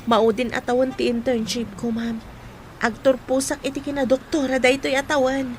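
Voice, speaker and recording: Faint noise sits behind a female actor as a young doctor in training in an audio drama. Her voice is high. She speaks politely and cheerfully, with friendly respect. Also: level tone, normal speed, darkish tone, natural voice. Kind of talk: Philippine audio drama acting.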